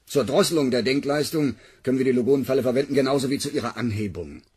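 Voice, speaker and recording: Very raspy, officious scientist. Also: male voice, medium pitch, fast, a little mellow. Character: officious, cold, indignant male scientist. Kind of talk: German sci-fi radio drama acting.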